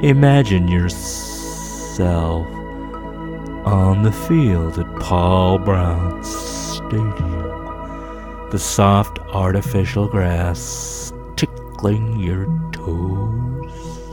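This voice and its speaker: A man voicing a soothing hypnotherapist who leads a slow, hushed guided relaxation in comic parody. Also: low voice. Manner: slow, hushed, calming guided-imagery delivery. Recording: new-age music bed behind voice. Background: soft new-age music.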